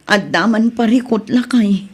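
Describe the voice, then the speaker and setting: A woman's voice: mid-range voice, a little slow, soft background music, close to an everyday voice. A woman playing a worried, insistent wife who questions and presses, with an Ilocano accent, in a radio comedy drama. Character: worried, insistent wife who confronts her evasive friend.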